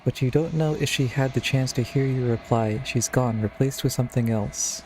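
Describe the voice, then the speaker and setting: A man's voice: medium pitch, moderate pace, darkish tone, a little hiss or hum, low-quality recording. A man giving casual, wry playthrough commentary as a game streamer, with understated reactions.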